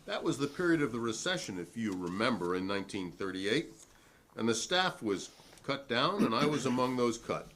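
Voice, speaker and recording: A man's voice: medium pitch, very animated, normal speed, a bit bright. A man reading the part of a combative working-class salesman testifying at a 1950s hearing, formal, righteous and long-winded. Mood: measured. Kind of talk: dramatic reading of a hearing transcript.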